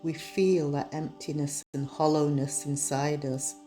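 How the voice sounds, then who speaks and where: A woman guiding a meditation in a soothing, measured, unhurried manner. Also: low voice, flat delivery. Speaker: meditation guide. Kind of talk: guided meditation narration. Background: soft soothing music.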